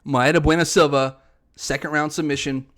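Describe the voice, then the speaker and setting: A man's voice: fairly high pitch, fairly even intonation, moderate pace, a bit bright. Casual man bantering about sports picks. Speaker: co-host of an MMA podcast. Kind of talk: casual sports chat, fight predictions.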